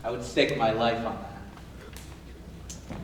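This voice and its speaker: A man's voice: mid-range voice, steady tone, extremely slow, noisy background. A man playing an anguished, protective father who works as a prison guard, in a staged musical reading. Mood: earnest, protective. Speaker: musical theatre actor-singer. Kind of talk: live staged musical reading, spoken and sung. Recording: echoey stage from far off, music behind.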